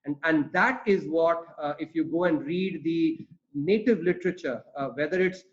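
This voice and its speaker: A man whose first language is Tamil lectures earnestly over a webinar link, his voice sitting a little high for a man. He piles up fillers and restarts his phrases as he builds his points. The call audio is dull and lo-fi. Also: moderate pace. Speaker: webinar speaker on economics. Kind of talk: webinar talk.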